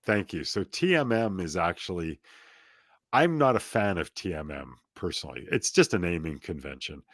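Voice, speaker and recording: A middle-aged man with a very low voice talks as a guest in a casual interview. He drifts onto tangents and pokes fun at himself. His voice sounds close and dry, with no room in it. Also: lively intonation, normal speed, a bit bright, no room sound. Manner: rambling, self-deprecating, prone to tangents.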